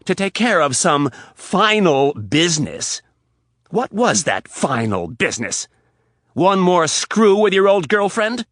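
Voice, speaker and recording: Audiobook: man doing a shrill, sarcastic young fiancée, husky and dry. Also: wildly swooping pitch, a little slow, few pauses, dry recording, exaggerated voice. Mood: furious. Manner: shouting, biting, sarcastic. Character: furious young woman, jealous fiancée. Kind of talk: audiobook character voice.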